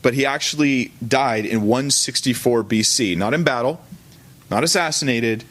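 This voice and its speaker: A man with a mid-pitched voice teaches as a pastor in a church service. He speaks informally and colloquially, correcting himself along the way as he explains things to his listeners. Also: moderate pace, a bit bright. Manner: conversational and colloquial, with self-corrections.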